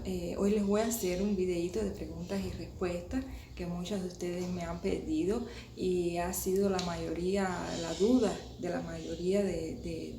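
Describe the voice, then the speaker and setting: A woman's voice: on the low side, creaky, dark tone. Cuban woman chatting in an echoey room. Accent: Cuban Spanish. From Cuba. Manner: chatty, with many restarts and hesitations. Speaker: vlogger. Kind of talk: casual talk to camera. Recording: echoey room with background noise.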